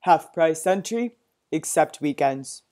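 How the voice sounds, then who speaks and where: A woman voicing a loud, blustering male zoo owner who bellows and gets flustered. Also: sits low, animated, takes it slowly.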